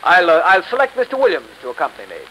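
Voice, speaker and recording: A man's voice: high-pitched, big pitch swings, rapid-fire, bright, forceful tone, exaggerated voice. An actor playing an indignant, self-assured church committeeman in 1930s radio style. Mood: resolute. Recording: slightly noisy 1930s radio recording.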